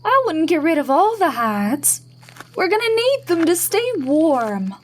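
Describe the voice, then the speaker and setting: A high female voice, an actress's, plays a practical frontier wife fretting over her family's needs. Her lines in this historical audio drama swing widely in pitch. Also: big pitch swings, a little slow, heavily acted. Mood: worried, practical. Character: practical, worried pioneer wife.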